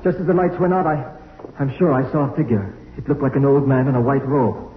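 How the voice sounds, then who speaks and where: A man's voice: mid-range voice, fairly quick, noisy background, natural voice. An actor playing a dutiful, nervous male junior crewman in a radio drama. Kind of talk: old-time radio drama acting. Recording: tinny echoing old radio, music behind.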